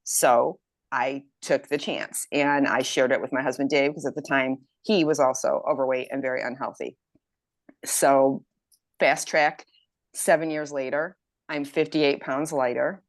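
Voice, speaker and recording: Low-voiced woman in her fifties. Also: steady tone, a little slow, no echo. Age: 50s. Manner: enthusiastic, reads quotes aloud. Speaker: health program member. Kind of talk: casual group chat testimonial.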